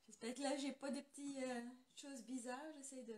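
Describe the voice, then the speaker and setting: A rather high-voiced woman from France runs a live craft tutorial from her home studio. She talks loosely and chattily, greeting viewers by name as she goes, with a faint hiss behind her on a computer mic. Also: steady tone, moderate pace. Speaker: craft demonstrator hosting a live stream. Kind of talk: casual live tutorial chat. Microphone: computer camera mic.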